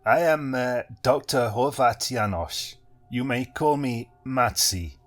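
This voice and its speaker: A man voicing an eccentric middle-aged male hypnotist with an Eastern European accent, theatrical and booming. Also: takes it slowly, soft background music, lightly acted. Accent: Eastern European, put on. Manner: theatrical, booming, prone to wild laughter.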